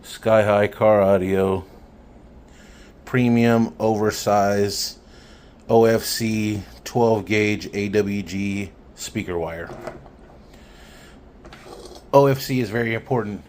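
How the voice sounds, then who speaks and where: A man's voice: on the low side, fairly even intonation, slow, pauses often, a little mellow. A man giving a casual hands-on explanation in a plainspoken, matter-of-fact way, recorded on a phone. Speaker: hobbyist demonstrating radio wiring. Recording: phone recording with background noise.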